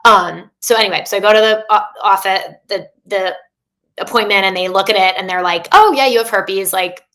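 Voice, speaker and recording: A woman's voice: mid-range voice, brisk, bright, forceful tone. A woman in her thirties, a coach talking as a podcast guest, speaking openly in a chatty way with many fillers and restarts. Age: 30s. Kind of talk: candid casual interview.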